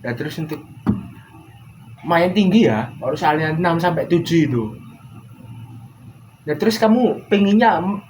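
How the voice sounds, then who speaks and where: Young man, slightly high voice, noisy recording. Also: expressive, moderate pace, long pauses. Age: young adult. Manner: informal, probing with questions. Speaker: counsellor in a student practice session. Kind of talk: casual counselling conversation. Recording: clear background noise, room ambience.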